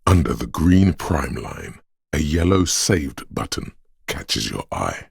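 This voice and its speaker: Very deep, gravelly, brooding inner voice. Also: male voice, sing-song, slow, no echo, heavily acted. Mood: hushed, wondering. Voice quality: gravelly, husky. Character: man's inner voice, brooding, tactile, mechanically minded. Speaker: game narrator and inner-voice actor. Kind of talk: literary second-person game narration.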